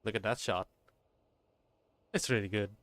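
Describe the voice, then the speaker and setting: A man's voice: lowish voice, steady tone, measured pace, fairly bright tone, no echo. A man livestreaming a game, chatting casually and informally, often thinking aloud and repeating his phrases. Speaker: game streamer. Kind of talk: casual livestream gameplay chat.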